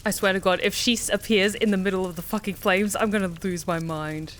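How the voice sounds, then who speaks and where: A woman's voice: mid-range voice, fairly even intonation, a little fast, a bit bright, slight background noise. A woman streamer giving casual, blunt, exclamatory live reactions to a game. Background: game music.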